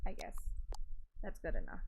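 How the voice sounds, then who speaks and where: A young woman with a raspy voice chatting casually with her viewers on a gaming stream, greeting chat and laughing often. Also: normal speed, a little hiss or hum.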